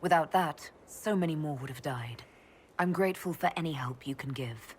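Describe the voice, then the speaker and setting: A woman playing a composed young elf mage who leads an army in a fantasy video game, speaking in a calm, inquisitive way. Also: low voice, normal speed, a little hiss or hum, close to an everyday voice. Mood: sincere. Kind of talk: video game cutscene acting.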